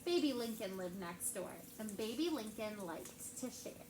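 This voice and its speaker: A woman teacher reading a picture book aloud to her class with lively character voices. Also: mid-range voice, measured pace. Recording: echoey classroom with background noise.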